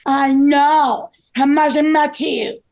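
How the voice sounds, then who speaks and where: Woman as a very high-voiced crooked tycoon villain. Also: level tone, slow pace, darkish tone. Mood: feigned sympathy. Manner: indignant, defiant. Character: crooked, blustering male hotel tycoon villain.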